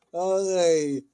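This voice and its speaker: A relaxed Ilocano man with a fairly high-set, soft-edged voice. He chats casually and jokily, stopping and starting as he rambles along. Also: clear, resonant tone, dry recording, clean studio sound. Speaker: radio drama actor and host. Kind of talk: casual chat with bursts of singing.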